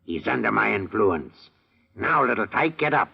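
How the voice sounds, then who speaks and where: Gravelly, husky comic hypnotist. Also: male voice, on the low side, lively intonation, normal speed, heavily acted. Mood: coaxing, commanding. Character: bossy amateur hypnotist man in comic ad. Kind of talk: old radio comedy commercial acting. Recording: dull old radio recording.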